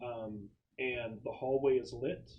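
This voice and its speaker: A man narrating a tabletop game as game master, describing scenes in a halting, improvised way. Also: mid-range voice, fairly even intonation, muffled recording. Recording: noisy room, clicks.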